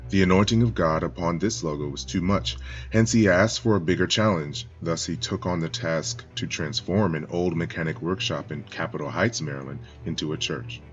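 Man, very deep voice, solemn scripted church narration, dull lo-fi sound with soft music behind. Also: moderate pace. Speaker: church spokesman.

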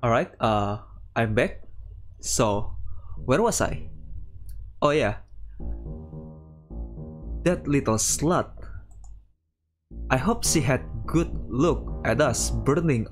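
A man streams a game with faint guitar music behind him. His voice sits at an average pitch and he takes his time, at a slightly unhurried pace. He moves haltingly between reading story text aloud and casual commentary. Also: lively intonation. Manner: halting, breaks off to react to the story.

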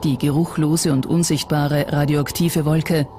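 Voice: medium pitch, level tone, measured pace, noisy background. A documentary narrator reading a scripted account. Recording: music under the voice. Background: background music.

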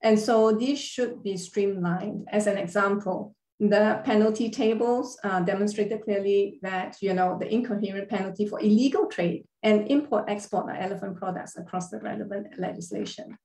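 A woman's voice: medium pitch, normal speed, hardly any pauses, warm tone. A woman giving a formal online lecture over slides, explaining laws carefully and measuredly, with occasional fillers. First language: not Thai. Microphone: video call. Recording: roomy echo on a video call.

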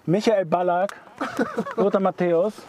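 A man's voice: high-pitched, steady tone, normal speed, noisy background. A man hosting a quiz while driving a car, teasing and jokey, drawing out his announcements.

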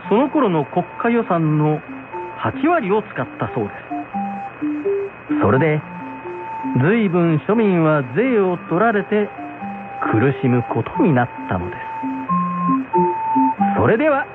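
A man tells an old folktale on the radio with animated, fairly high intonation. His delivery is polite and traditional. The sound is tinny, with music clearly behind him. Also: slow pace, long pauses. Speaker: storyteller, narrator. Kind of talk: scripted folktale narration for radio.

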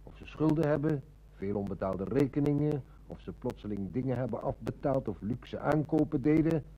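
An actor playing a shrewd, commanding police inspector in a Dutch radio play, with an authoritative, probing manner. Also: male voice, medium pitch, animated, normal speed, highs cut off, close to an everyday voice. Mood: commanding, brisk.

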